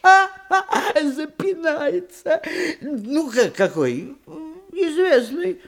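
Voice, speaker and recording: A flustered blustery old uncle in a radio comedy, stammery, drawn out, pitch all over the place. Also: male voice, big pitch swings, slow, fairly bright tone, heavily acted. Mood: pompous, impatient. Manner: flustered, stammering, groaning interjections. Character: blustering older uncle, flustered would-be examiner of learning. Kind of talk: stage comedy radio play acting.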